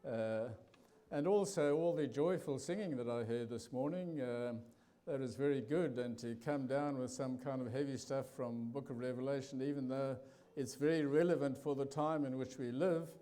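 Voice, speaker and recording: An older man with a South African accent giving a testimony talk in church, pausing often with fillers and restarts. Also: medium pitch, warm tone. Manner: halting, many fillers and restarts. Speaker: guest preacher.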